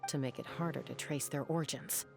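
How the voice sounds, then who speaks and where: A woman's voice: rumbling low, a little fast, creaky, darkish tone, soft background music. A woman playing a tough, commanding young gunslinger in a fantasy game, stern and probing. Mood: pensive. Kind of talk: video game dialogue acting.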